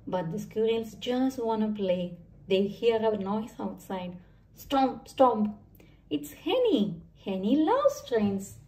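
A woman reading a children's book aloud in an expressive, sing-song way, as a presenter would to small children. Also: medium pitch, slow pace, breathy edge, low-quality recording. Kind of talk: expressive read-aloud storytelling.

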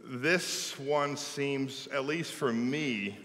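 A middle-aged pastor, preaching an animated, folksy sermon from a pulpit in a large church sanctuary. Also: male voice, medium pitch, drawn-out words. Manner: animated preaching, folksy with "y'all", gestures while speaking. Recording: long church reverb.

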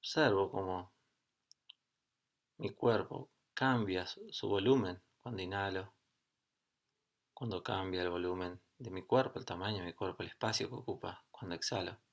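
A middle-aged man leads a guided meditation in a fairly low voice. He speaks calmly, in short phrases, and leaves long pauses between them. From Argentina. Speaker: yoga and meditation teacher.

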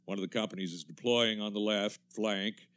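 A man's voice: lowish voice, steady tone, a little fast, fairly bright tone, lightly acted. Man acting a confident army captain, radio play. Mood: brisk confidence. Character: confident militia army captain reporting from the field. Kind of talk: 1930s radio drama acting.

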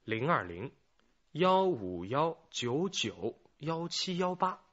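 An actor playing a keen, insistent junior police detective in a radio drama. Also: male voice, fairly high pitch, sing-song, slow, fairly bright tone, heavily acted. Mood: puzzled, keen. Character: keen junior male detective puzzling over a clue. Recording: dull, muffled lo-fi audio.

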